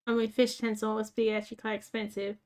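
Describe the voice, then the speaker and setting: A woman's voice: a little high, level tone, quick pace, darkish tone. A woman with a rural Australian accent, chatting casually while playing a game, rambling with lots of fillers and joking about herself. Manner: rambling, filler-heavy, self-mocking. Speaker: gaming YouTuber. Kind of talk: casual gameplay chat.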